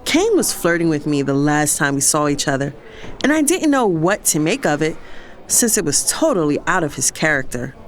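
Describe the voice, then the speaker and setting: Sassy young female narrator-lead actress for audio drama, lively and fed up, slight noise on the recording. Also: low voice, normal speed. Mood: exasperated. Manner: exasperated, sassy. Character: fed-up, sassy young career woman narrating her life.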